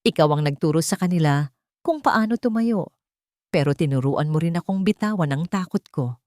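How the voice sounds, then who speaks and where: A woman's voice: fairly low pitch, lively intonation, normal speed, warm tone, no echo, studio quality, lightly acted. A woman voicing an earnest, remorseful father in love in an audiobook, solemn and heartfelt. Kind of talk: dramatic audiobook dialogue.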